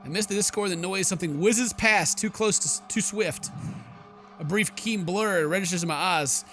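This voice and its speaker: A man doing jokey, theatrical let's play commentary, excitable and slangy, reading game text dramatically. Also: sits high, moderate pace, a little hiss or hum. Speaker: gaming streamer. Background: game music.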